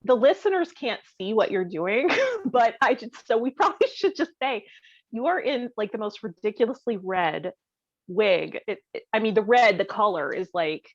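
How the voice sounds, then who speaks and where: A woman's voice: a little high, expressive, moderate pace, no room sound. Lady podcast host chatting casually and jokey, kinda dull dry audio. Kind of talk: casual comedic podcast chat.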